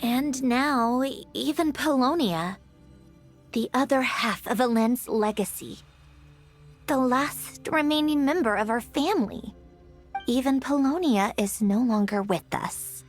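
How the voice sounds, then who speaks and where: A woman's voice: high-pitched, a little slow. A woman playing an aloof, prickly young woman with a melancholy streak and a haughty, sighing manner in a video game. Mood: grieving, reflective. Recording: music under the voice. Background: background music.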